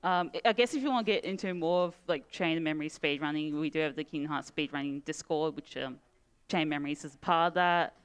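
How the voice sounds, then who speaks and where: A woman's voice: deep voice, fast, clean tone. A woman with an Australian accent chatting casually after finishing a speedrun, hesitant and full of "um" and "like". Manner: hesitant, many "um" and "like" fillers. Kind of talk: casual post-run chat.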